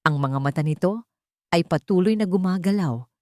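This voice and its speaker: A woman's voice: on the low side, moderate pace, dark tone, no echo, studio quality. A woman reading a scripted dramatic story as its narrator.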